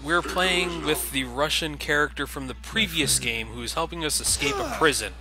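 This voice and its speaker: A man's voice: a little high, moderate pace. A man giving sarcastic, casual commentary over a video game. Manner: sarcastic, mocking. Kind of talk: casual let's play commentary.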